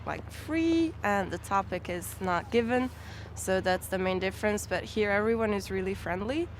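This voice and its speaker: A woman student giving a measured answer in a street interview. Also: mid-range voice, slight background noise.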